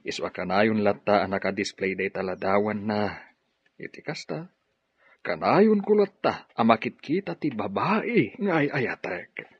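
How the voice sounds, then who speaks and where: A man's voice: lowish voice, expressive, measured pace, breathy edge, no room sound. An actor playing a devoted middle-aged father in a Filipino radio drama, earnest and sentimental, with a Filipino accent. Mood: tender, longing. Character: devoted middle-aged father, still pining for lost sweetheart. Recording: dull, muffled radio drama audio.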